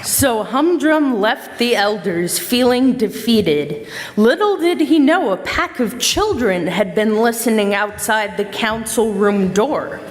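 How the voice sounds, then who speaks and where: A woman's voice: a little high, animated, takes it slowly. A woman narrating a scripted storybook-style play in an echoey hall.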